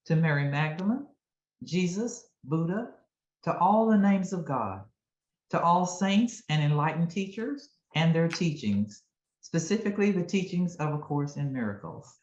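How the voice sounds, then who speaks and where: A woman's voice: deep voice, lively intonation, a little mellow. Solemn woman reading, echoey and dull. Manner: measured, solemn recitation. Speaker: community member reading the mission. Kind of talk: reading a mission statement. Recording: dull echoey room recording.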